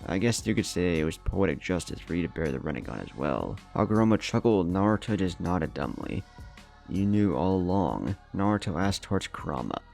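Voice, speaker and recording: A man's voice: sits low, very animated, a little fast, gravelly, few pauses, darkish tone. A man reading scripted story narration as the narrator. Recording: music bed behind.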